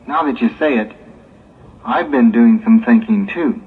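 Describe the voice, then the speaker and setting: A man's voice: mid-range voice, huge pitch swings, measured pace, creaky, heavily acted. A man playing an earnest, engaged young man soberly weighing his plans in a 1960s religious audio drama. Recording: muffled, hissy 1960s tape, phone-like.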